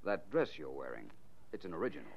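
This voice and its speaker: A suave, shrewd private detective from vintage radio. His voice is fairly low, with lively, swinging intonation and a wry, precise delivery. The sound is dull and aged. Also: quick pace, creaky, a little hiss or hum, exaggerated voice. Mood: cool, baiting. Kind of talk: 1940s radio detective acting. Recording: muffled vintage 1940s radio recording.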